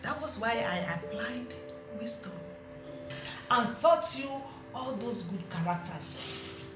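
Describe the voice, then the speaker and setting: A woman's voice: high-pitched, animated, fast. A woman playing a shrewd older aunt who mocks, then lectures her niece. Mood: lecturing. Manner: brusque, mocking, then lecturing. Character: shrewd, scolding older aunt giving advice. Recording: roomy with music behind.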